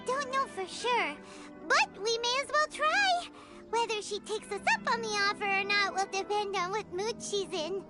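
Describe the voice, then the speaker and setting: A woman voicing a tiny childlike fairy companion in a video game, excitable, blunt and cutesy. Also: extremely high pitch, moderate pace, music in the background. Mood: thoughtful. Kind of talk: cartoonish video game voice acting.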